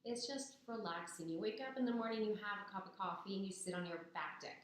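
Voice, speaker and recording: This is a young woman, her voice raspy and hoarse after a cold. She talks in a chatty, persuasive way, pitched right in the middle. The recording rings with heavy echo. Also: fast. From Ontario, Canada. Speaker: business student. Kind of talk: persuasive speech to camera. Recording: very echoey, roomy sound.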